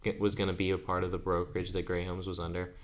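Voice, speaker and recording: A man's voice: sits low, completely flat delivery, fast. An eighteen-year-old man co-hosting a podcast, telling stories in a chatty way and laughing as he goes. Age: under 20. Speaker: podcast co-host. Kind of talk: casual chat.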